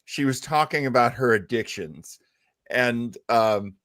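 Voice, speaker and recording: Animated man hosting a casual chat. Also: medium pitch, a little slow, no echo. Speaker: host. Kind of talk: casual chat over an old radio show.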